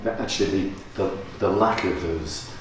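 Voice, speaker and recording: A low-voiced man asking questions at a gallery talk, restarting his sentences as he thinks aloud. He sounds far from the mic in a very echoey room, with clear background noise. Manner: halting restarts, thoughtful. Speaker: interviewer. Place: gallery space.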